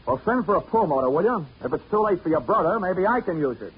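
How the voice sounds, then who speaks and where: An actor playing a wisecracking, hard-boiled investigator in a 1940s radio drama, speaking in a dry, clipped, wry way. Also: male voice, sits high, animated, fast talker, very noisy. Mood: brisk, urgent. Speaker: lead actor and narrator. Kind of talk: 1940s radio detective acting, first-person narration. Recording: dull old radio recording, loud music.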